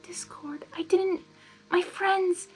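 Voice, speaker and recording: A gentle, frightened young female pony, voiced by a woman, speaks in a very high, hesitant voice. The manner is pleading and apologetic, as in a fantasy story read aloud, with music underneath. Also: steady tone, slow.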